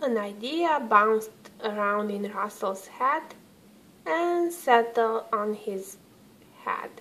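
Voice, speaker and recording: A woman reading a children's storybook aloud expressively, with deliberate dramatic pauses. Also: fairly high pitch, lively intonation, pushed and bright, low-quality recording. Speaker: storybook reader.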